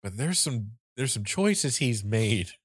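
A man with a deep voice chatting casually and animatedly as a co-host, often laughing and breaking into bits of song. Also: takes it slowly, slightly rough, dry recording, clean studio sound. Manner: animated, sings snippets of songs, laughs often. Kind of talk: casual chat.

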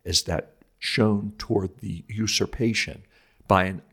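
A man's voice: fairly low pitch, fairly even intonation, measured pace, fairly bright tone, husky. A man reading a scripted theological text aloud as host, in a solemn, formal, oratorical manner with emphatic phrasing.

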